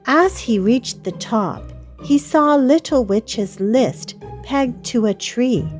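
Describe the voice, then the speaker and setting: A woman reading a children's story aloud as an expressive, dramatic storyteller. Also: fairly high pitch, takes it slowly, faint music behind. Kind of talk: expressive read-aloud narration.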